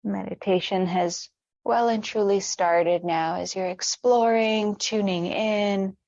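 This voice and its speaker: A woman leading a guided meditation with stretches, speaking in a gentle, soothing, unhurried manner. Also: mid-range voice, steady tone, no room sound, highs cut off.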